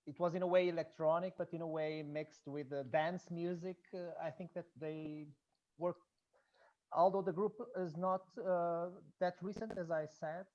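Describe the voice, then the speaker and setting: A Portuguese-accented speaker, native in Portuguese, talks in a hesitant, halting way during an informal online discussion. The pitch sits in a middle range, and the call audio is muffled and lo-fi. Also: steady tone, a little mellow. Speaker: audience member, student researching Blake and music.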